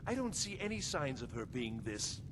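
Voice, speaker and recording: An actor playing a measured, skeptical steward in a fantasy video game, dry and dismissive. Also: male voice, mid-range voice, sing-song, fairly bright tone, slight background noise.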